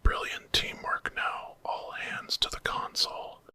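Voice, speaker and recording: Guy doing an eccentric bossy time traveller man, urgent hissy bright whisper, asmr story. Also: a little slow. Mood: excited. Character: frantic, commanding, eccentric male time traveller.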